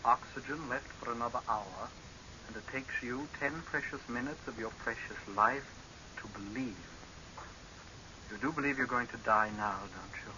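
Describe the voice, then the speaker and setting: An actor playing a cold, sardonic young saboteur in 1930s radio horror drama style, speaking in a measured, menacing way. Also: male voice, mid-range voice, lightly acted. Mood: bitter, taunting. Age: young adult. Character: cold, mocking young male saboteur, calmly vengeful. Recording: hissy, dull 1930s radio recording.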